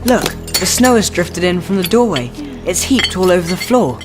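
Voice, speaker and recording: A decisive British schoolboy, the bossy but fair eldest brother in a radio drama, played by an actor. The delivery is very animated and expressive, with music clearly playing behind it. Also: mid-range voice, moderate pace, lightly acted. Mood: grave.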